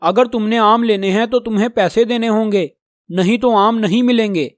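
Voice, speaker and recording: High-voiced proud talking tree played by a man. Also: normal speed, no echo, clean studio sound, natural voice. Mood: grudging, stern. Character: talking male mango tree, proud and grudging.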